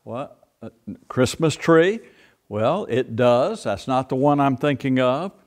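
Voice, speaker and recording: Gentle older pastor talking to children. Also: male voice, mid-range voice, expressive. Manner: playful, speaks slowly and gently to children. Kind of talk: children's sermon. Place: church sanctuary, indoors. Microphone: far camera.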